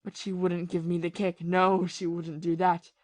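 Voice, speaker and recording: A woman playing a bitter, lovesick, ruined ex-army captain in a period audio play, confessing passionately and haltingly. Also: fairly low pitch, flat delivery, a little mellow, no echo, natural voice. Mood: bitter, despairing. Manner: confessional, impassioned, halting with repeated phrases.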